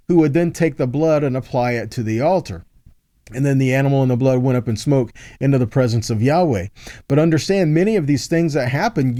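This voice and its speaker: Mellow man teaching scripture, dry sound. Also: fairly high pitch, no echo. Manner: explanatory, checks in with "okay?" and "right?". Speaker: Bible teacher. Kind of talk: conversational sermon teaching.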